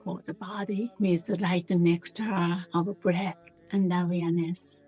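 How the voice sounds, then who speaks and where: Gentle woman meditation teacher, really slow w pauses, bit husky, soft music in the back. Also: fairly low pitch, flat delivery. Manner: gentle, unhurried, with pauses between short phrases. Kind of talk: guided meditation.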